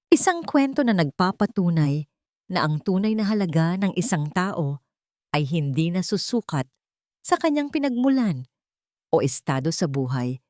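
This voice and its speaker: A woman's voice: on the low side, a little mellow, dry recording, studio quality. A woman narrating a scripted romantic story in a dramatic, sentimental way.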